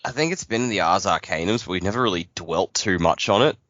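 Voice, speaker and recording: Male podcast co-host, deep and bright-toned, loose rambling delivery, lo-fi. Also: animated, fast, no room sound. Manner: rambling, thinks aloud with fillers and restarts. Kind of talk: casual book club chat.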